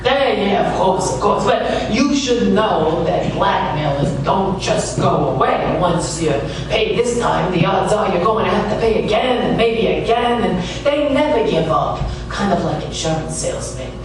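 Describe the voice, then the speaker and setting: A man playing a wry, world-weary private detective, narrating and talking in a deadpan, sardonic way in a hardboiled radio drama. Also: high-pitched, measured pace, few pauses, background noise. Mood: impatient, businesslike. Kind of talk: hardboiled noir radio drama, first-person narration and dialogue. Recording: echoey distant stage recording with music behind.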